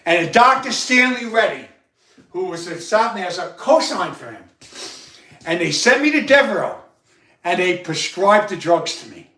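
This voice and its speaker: A man with a loud voice hosting a livestream, telling a rambling personal story with halting restarts and repeated words. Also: high-pitched, big pitch swings. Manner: repetitive, halting, many restarts. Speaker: livestream host. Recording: roomy sound, far from the mic.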